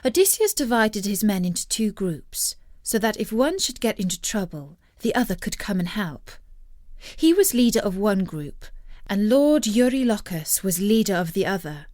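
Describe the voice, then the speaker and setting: A woman narrating a scripted story in an expressive, measured storytelling manner. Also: mid-range voice, dry recording, studio quality.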